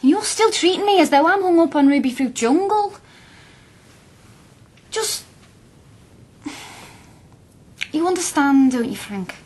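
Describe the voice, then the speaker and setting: A woman's voice: high voice, lively intonation, normal speed, a little mellow. An actress playing a spirited, earnest young working-class woman student with a blunt, impatient, impassioned manner in a radio drama. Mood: earnest, pleading. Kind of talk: naturalistic radio drama acting.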